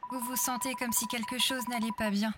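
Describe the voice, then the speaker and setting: A flat, deadpan game narrator, played by a woman, in a mid-range voice. The delivery is detached and scripted, with music behind. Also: on the high side, brisk, close to an everyday voice. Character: impassive game-style narrator addressing the player.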